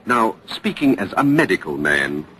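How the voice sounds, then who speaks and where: A man reporting in 1940s radio news style as host, formal, crisp and probing, on a vintage broadcast recording. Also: fairly low pitch, very animated, moderate pace, sharp tone, a little hiss or hum, low-quality recording.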